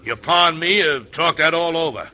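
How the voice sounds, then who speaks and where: Bloodthirsty, high-voiced cowboy henchman. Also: moderate pace, sharp tone. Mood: scornful. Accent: American Western drawl. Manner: folksy cowboy slang, pushy. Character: hard, bloodthirsty Texan ranch henchman. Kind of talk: old-time radio western acting. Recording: very noisy old radio recording.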